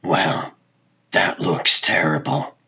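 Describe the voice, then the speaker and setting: A man voicing a demanding, irritable television producer in a comic book dub, curt and deadpan. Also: deep voice, takes it slowly, gravelly, slightly rough, dull audio, heavily acted. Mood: displeased.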